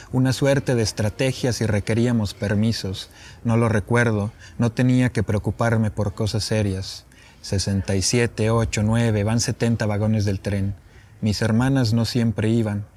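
A man's voice: lowish voice, a little mellow. A young man with a northern Mexican accent, a poet introducing and reciting his own work on an open patio. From Chihuahua, Mexico. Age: young adult. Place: open patio with wooden furniture.

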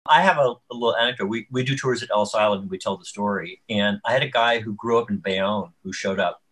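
A man moderating a video call panel in a conversational way, with a husky voice. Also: on the low side, fast, fairly bright tone. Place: indoor room, on a video call. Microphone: video call microphone.

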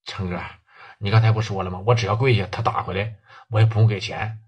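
A man's voice: mid-range voice, moderate pace, slightly rough, highs cut off, voice pushed down, lightly acted. A man voicing a cowed gang boss who stammers and mumbles submissively.

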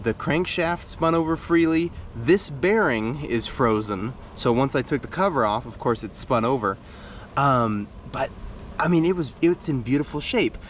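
A man's voice: mid-range voice, a little hiss or hum. Enthusiastic man filming outdoors. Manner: enthusiastic, rambling with fillers and restarts. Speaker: YouTube motorbike restorer. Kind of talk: casual show-and-tell vlog. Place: outdoors on a brick patio by a shed.

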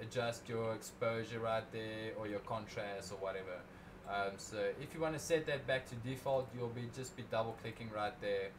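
A young man talks through an editing tutorial in a loose, rambling way, often restarting his sentences. His voice sits at a middling pitch. He speaks into a desk mic, and steady background noise runs under the whole recording. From South Africa. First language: Afrikaans. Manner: rambling, self-correcting, casual. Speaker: drone video creator.

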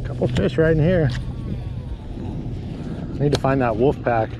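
A man's voice: mid-range voice, expressive, measured pace. A man chatting casually while fishing, speaking in slangy, clipped exclamations outdoors on a small boat. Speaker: angler filming a fishing trip. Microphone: action camera worn on the body. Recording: loud wind, water and boat noise. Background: sea, boat and wind noise.

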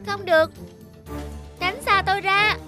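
A woman's voice: very high voice, fairly even intonation, measured pace, pushed and bright, faint music behind, voice pushed up, exaggerated voice. A woman voicing a small, brave, anxious young raindrop boy in a cartoon, earnest and exclamatory. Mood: frightened, doubtful.

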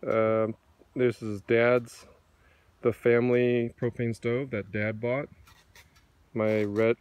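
A man's voice: lowish voice, darkish tone. A middle-aged man casually walking through his outdoor campsite, filming on a handheld phone and pointing out gear, sometimes correcting himself. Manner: unhurried, pointing things out, small self-corrections. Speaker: camper giving a campsite tour. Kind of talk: casual walkthrough narration. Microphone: handheld phone camera, speaker behind it.

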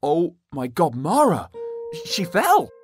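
A young male student acted by a man in a radio drama. He is anxious and easily rattled, exclaiming in agitation, and his pitch moves widely up and down. Music plays clearly behind him. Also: huge pitch swings, takes it slowly, exaggerated voice. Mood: groggy, confused.

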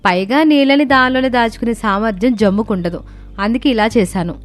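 A woman voicing a resourceful young daughter-in-law in a cartoon story, explaining things calmly and patiently. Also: fairly high pitch, brisk, faint music behind, natural voice.

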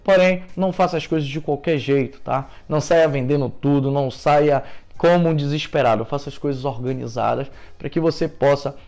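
A man's voice: fairly high pitch, fairly quick, a little mellow. A man in his thirties with a Brazilian Portuguese accent, earnestly giving immigration advice to camera from his living room on a lapel mic. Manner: earnest, explanatory, gestures a lot with his hands. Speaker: immigration vlogger. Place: living room at home, indoors.

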